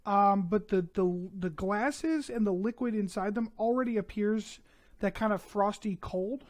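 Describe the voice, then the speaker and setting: A young man narrates a tabletop game as game master into a headset mic. He talks haltingly and fills gaps with ums and uhs. His voice sits a bit high for a man. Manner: hesitant, many fillers.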